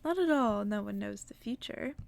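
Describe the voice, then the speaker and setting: A woman voicing a blunt, teasing old woman in a softly read storybook tale. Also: medium pitch, expressive, normal speed, vocal fry, no room sound. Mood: mocking. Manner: brusque, teasing, scolding. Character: mocking, blunt old spinster woman in a tower.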